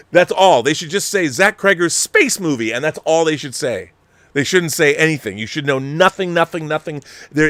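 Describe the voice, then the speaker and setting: A man's voice: a little high, fairly bright tone, dry recording. An American man in his fifties hosting a casual, rambling livestream chat, speaking emphatically and insistently and often repeating phrases. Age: 50s. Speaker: online show host and film producer.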